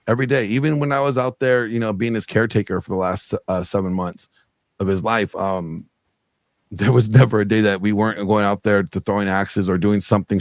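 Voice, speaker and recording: A man's voice: on the low side, dry recording. A middle-aged man speaking earnestly as a guest on a video call interview, rambling with many fillers. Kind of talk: casual interview chat.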